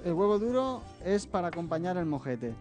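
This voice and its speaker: A man with a slight Catalan accent demonstrating a recipe as a guest chef in a television kitchen. He explains each step patiently and often checks in with a quick "eh?". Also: sits high, very animated, darkish tone, dull audio. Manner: explains step by step, tags phrases with "eh?". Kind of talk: cooking demonstration. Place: TV studio kitchen. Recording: faint background music.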